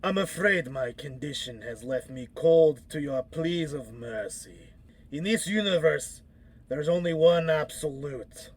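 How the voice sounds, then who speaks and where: A man playing an icy, grandiose supervillain in an audio drama, husky and pompously declaiming. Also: a little high, animated, a little slow, dry recording. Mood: cold gloating. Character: icy, gloating male supervillain, merciless and grandiose.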